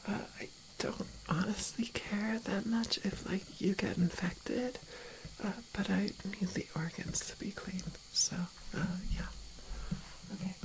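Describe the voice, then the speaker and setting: A woman doing a whispered ASMR roleplay as an awkward, shy organ harvester, speaking haltingly and repeating phrases softly. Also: medium pitch, rough recording. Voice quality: breathy whisper with fry. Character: awkward, shy female organ harvester prepping a living patient.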